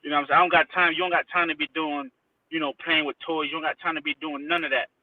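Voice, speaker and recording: A man's voice: a little high, level tone, very fast, no room sound. Tinny, phone-like man chatting casually. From Haiti, then Connecticut from age six. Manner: informal, slangy, repeats phrases for emphasis. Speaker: interview guest. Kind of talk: casual chat, personal recollection. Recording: tinny phone-like line, distant from mic.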